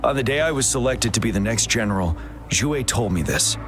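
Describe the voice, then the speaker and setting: An actor voicing a stern, dutiful young male general who speaks in a measured, earnest and formal way in a game cutscene. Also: mid-range voice, animated, natural voice. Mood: earnest, grave. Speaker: general leading the defence. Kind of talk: voiced video game cutscene. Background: cinematic music.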